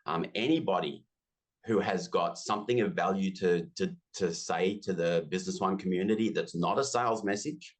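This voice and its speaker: A man's voice: low voice, normal speed. A man in his fifties with an Australian accent, chatting freely as an interview guest, self-deprecating and full of asides. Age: 50s. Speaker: interview guest, business software community founder. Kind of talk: casual interview chat.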